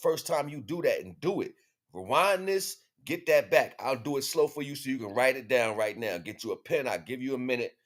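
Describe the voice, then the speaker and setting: A man's voice: mid-range voice, expressive, brisk. A man hosting an informal spiritual teaching livestream, talking in slang with emphatic, repeated phrases. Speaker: livestream host.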